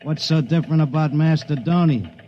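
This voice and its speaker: An actor playing a brusque, dismissive newspaper editor talking on the phone in a 1950s radio drama. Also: male voice, on the high side, fairly quick, muffled recording, natural voice.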